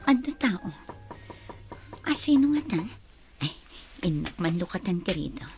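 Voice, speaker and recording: A woman's voice: on the low side, big pitch swings, unhurried, music in the background. A woman acting a scheming, envious and evasive young woman in an Ilocano radio drama.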